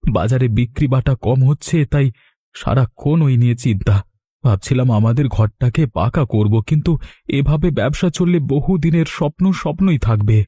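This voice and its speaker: An actor voicing a good-natured village man in a cartoon, in an expressive, husky voice. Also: medium pitch, normal speed, close to an everyday voice. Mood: mild, earnest. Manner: animated, expressive. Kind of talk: Bengali cartoon voice acting.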